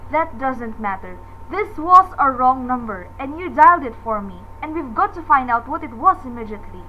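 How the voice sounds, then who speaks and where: A woman's voice: high-pitched, animated, normal speed, lo-fi sound, slight background noise. A woman playing a frail, nervous housewife in a radio drama, agitated, imperious and melodramatic. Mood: agitated, indignant. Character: frail, nervous, demanding housewife alone at night.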